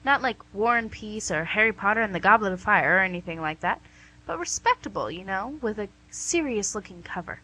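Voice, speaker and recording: Devil for radio comedy, played by a woman: bright, forceful voice, smug salesmanship, teasing rhetorical questions. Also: mid-range voice, lively intonation, a little fast, rough recording. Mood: haggling. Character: the Devil, wheeling-dealing, smug, teasing tempter. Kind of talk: radio play comedy acting.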